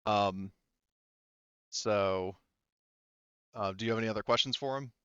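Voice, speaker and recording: A man narrating a tabletop game as game master in a casual, halting, profanity-sprinkled way, on a dull recording. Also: lowish voice, a bit bright, clean tone, no room sound. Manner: informal, profane, halting delivery.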